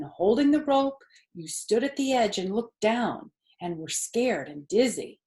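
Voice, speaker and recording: A woman storyteller narrating a children's book in an expressive reading style. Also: fairly high pitch.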